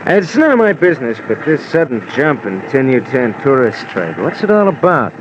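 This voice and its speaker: An actor playing a blunt, laconic schooner captain in a 1950s radio drama. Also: male voice, mid-range voice, sing-song, normal speed, close to an everyday voice. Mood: dry, blunt. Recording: muffled old radio, noisy background.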